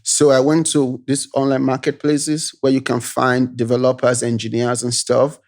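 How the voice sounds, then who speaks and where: A man from Nigeria with a Nigerian accent, a tech founder telling his story as an interview guest in a relaxed, easygoing way that is full of "you know" tags. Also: mid-range voice, normal speed. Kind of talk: casual interview chat.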